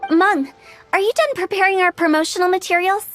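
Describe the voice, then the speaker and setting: A woman's voice: very high voice, fairly quick. A woman voicing a playful, eager young funeral parlor director in a video game, with chirpy, theatrical sales patter. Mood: miffed, resolute. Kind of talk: video game character acting. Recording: game audio with background music.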